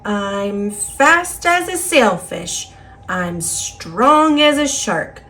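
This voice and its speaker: A woman's voice: mid-range voice, animated, very slow, bright, forceful tone, exaggerated voice. A woman voicing an earnest, anxious male fish from a picture book in emphatic, singsong rhyme. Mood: boastful, scared. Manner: emphatic, singsong rhyming declarations. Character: earnest, anxious male cartoon fish, brave but afraid.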